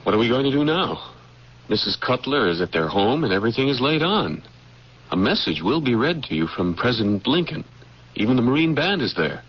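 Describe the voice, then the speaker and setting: An actor playing an earnest, scheming general's aide in an old-time radio drama, deferential and persuasive in manner. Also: male voice, mid-range voice, sing-song, fairly quick, breathy edge. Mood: deferential, businesslike. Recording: muffled, noisy old radio broadcast recording.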